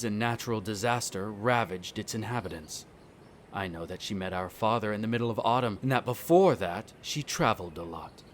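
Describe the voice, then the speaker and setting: A man acting a haughty, bitter centuries-old vampire noble in an audio roleplay, speaking in a formal, measured, condescending way. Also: on the low side, a little hiss or hum, natural voice. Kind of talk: scripted roleplay audio drama monologue.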